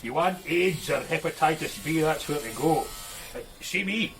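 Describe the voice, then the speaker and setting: A man's voice: a little high, moderate pace, fairly bright tone, a little hiss or hum. An actor playing a blunt, matter-of-fact male tattoo artist with a Glasgow accent. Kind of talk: radio drama acting.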